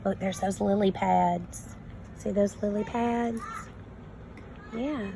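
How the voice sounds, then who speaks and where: A woman kindergarten teacher reading a story to young children, expressive and instructive, prompting them with questions. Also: medium pitch, slow pace, gravelly, a little hiss or hum, rough recording. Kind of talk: read-aloud lesson for young children.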